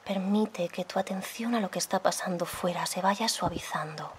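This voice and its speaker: A woman leading a guided meditation as a yoga guide, speaking softly and soothingly, unhurried, with pauses between phrases. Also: fairly low pitch, a little hiss or hum. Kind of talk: guided meditation, slow calm instruction.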